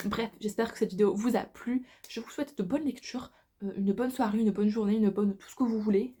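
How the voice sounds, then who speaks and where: A woman's voice: mid-range voice, a little fast, dark tone, slightly rough. A woman in her twenties, a book vlogger, chatting casually and informally to camera, with slangy phrasing. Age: 20s.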